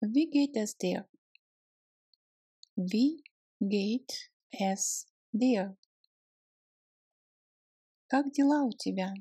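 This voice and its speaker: A woman's voice: medium pitch, drawn-out words, pauses often, warm tone, breathy edge, no room sound, studio quality. A woman language teacher drilling short phrases, saying each one, breaking it into chunks, then repeating it. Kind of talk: slow phrase drill with translations.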